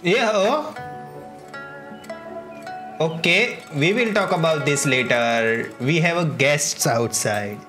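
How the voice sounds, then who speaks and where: Streamer, unhurried offhand reactions to a game, animated pitch movement, music underneath. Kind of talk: casual game playthrough commentary.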